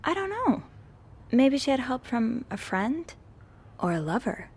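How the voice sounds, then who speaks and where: An actress playing a nosy young hotel maid in a narrative game, polite and a little hesitant. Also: female voice, high voice, very animated, a little slow, darkish tone, a little hiss or hum, full character voice. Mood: intrigued, conspiratorial. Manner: hesitant, polite, stammers when flustered.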